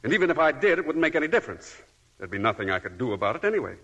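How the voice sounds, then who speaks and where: An actor playing a bitter escaped convict husband in a 1940s radio drama, mocking and sardonic. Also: male voice, medium pitch, very animated, rapid-fire, a bit bright. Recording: muffled old radio recording.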